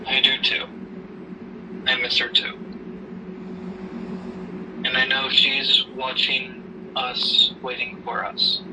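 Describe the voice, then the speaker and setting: Set back from the mic amid clear noise, a man plays a protective father in an amateur audio drama. His medium-pitched voice is earnest and measured, consoling and caring. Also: monotone, lightly acted. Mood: solemn, tender. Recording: distant mic, noisy background hum.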